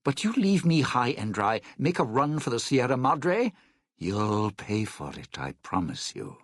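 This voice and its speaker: An actor playing a cynical, self-serving lounge singer in a video game, with a raspy, gravelly voice and a wry, theatrical, menacing delivery. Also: male voice, mid-range voice, big pitch swings, moderate pace, dry recording, studio quality. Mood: cold, menacing. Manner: wry, theatrical, hesitant muttering turning to threats. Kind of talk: video game character dialogue.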